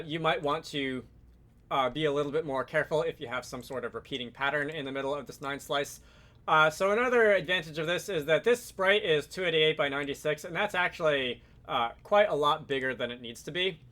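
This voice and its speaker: A man's voice: medium pitch, pushed and bright. A man hosting a casual game development tutorial, explaining off the cuff, hesitating and correcting himself as he thinks aloud. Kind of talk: casual screen-recorded software tutorial, improvised explanation.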